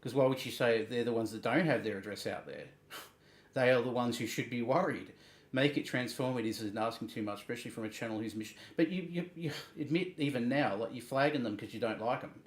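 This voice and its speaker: A man hosting a livestream, reading messages and commenting in a halting, emphatic way, repeating words. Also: mid-range voice. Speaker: livestream host. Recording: roomy, echoing sound.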